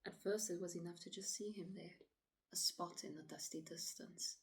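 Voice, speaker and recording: A woman acting a shaken city woman in a dramatic stage monologue, pleading her account to police in a halting, often hushed voice. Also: lowish voice, steady tone, lightly acted. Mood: haunted, fearful. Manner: halting, hushed, often breathy near-whisper.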